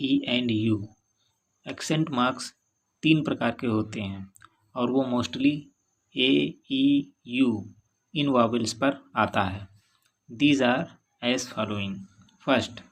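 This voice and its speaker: A man with an Indian English accent teaches a language lesson. He reads English sentences very slowly and deliberately, then explains each point. His voice is husky and breathy, at an ordinary middle pitch. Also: low-quality recording. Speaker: French teacher.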